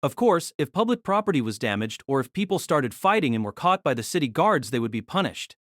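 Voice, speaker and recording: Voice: medium pitch, sing-song, a little fast, fairly bright tone, no echo, clean studio sound. A narrator reading scripted light-novel story prose for an audio drama.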